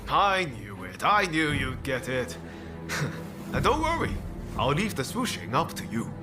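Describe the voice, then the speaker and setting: An actor playing an eager, playful, eccentric young samurai in a video game drama. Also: male voice, on the high side, wildly swooping pitch, measured pace. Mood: playful, confident. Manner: bouncy, whimsical. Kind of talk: video game cutscene acting. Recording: music under the voice.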